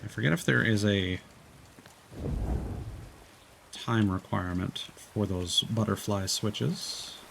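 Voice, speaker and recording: A man's voice: lowish voice, slow pace, gravelly, long pauses, background noise. A man doing casual live gameplay commentary, chatty and thinking aloud. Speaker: game streamer.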